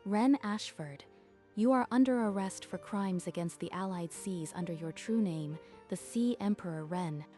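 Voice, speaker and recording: A woman's voice: medium pitch, normal speed, dark tone, natural voice. A woman voicing a stern female marine commander who speaks in formal, clipped orders. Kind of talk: manga dub voice acting. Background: faint music.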